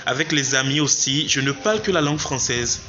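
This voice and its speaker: A man being interviewed, talking in a measured way with hesitant fillers. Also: medium pitch, expressive, noisy background. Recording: dull lo-fi sound, faint music.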